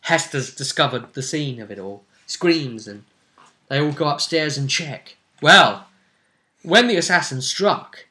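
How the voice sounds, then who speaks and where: A man's voice: fairly high pitch, expressive, a little slow, pushed and bright. A man acting as game master, casually recapping the story of a tabletop role-playing game.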